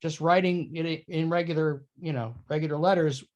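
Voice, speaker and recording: Higher-voiced man in casual discussion. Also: fairly even intonation, normal speed, lo-fi sound. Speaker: participant in theatre discussion.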